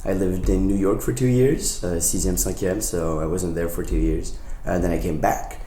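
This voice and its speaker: A young man with a deep, mellow voice chats easily about himself. The room is echoey. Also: steady tone, moderate pace, slight background noise. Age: young adult. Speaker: alumnus guest.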